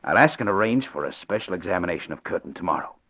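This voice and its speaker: An actor playing a scheming doctor in a 1940s radio drama, cold and conspiratorial. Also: male voice, low-pitched, animated, quick pace, sharp tone, slightly rough, heavily acted. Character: scheming male doctor plotting with accomplice. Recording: dull lo-fi old radio recording.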